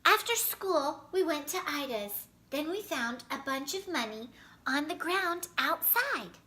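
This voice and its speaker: Woman plays storybook schoolboy: earnest, excitable, high and bright, with a conscience. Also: measured pace, exaggerated voice. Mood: excited. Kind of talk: children's storybook character voice.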